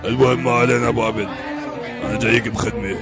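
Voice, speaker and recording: A man playing a pushy, joking young schemer who speaks fast. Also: medium pitch, fairly even intonation, vocal fry, over loud music, lightly acted.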